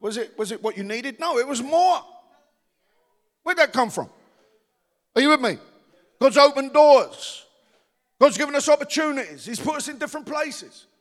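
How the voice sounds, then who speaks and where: A man's voice: very high voice, lively intonation, normal speed, long pauses, fairly bright tone. A man from the East End of London with a Cockney accent, preaching a rousing sermon as pastor and calling on the congregation to respond. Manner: rousing, calls for audience response. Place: church. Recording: long church reverb.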